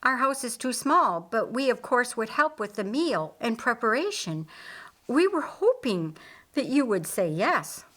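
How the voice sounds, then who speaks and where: A woman's voice: a little high, sing-song, measured pace, a bit bright, voice pushed up, full character voice. Audiobook part: friendly, organised man, earnest and practical read by a woman.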